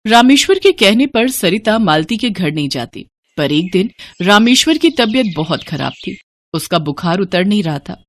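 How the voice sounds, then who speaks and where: A woman's voice: mid-range voice, very animated, normal speed. A woman narrating a scripted moral story as a storyteller.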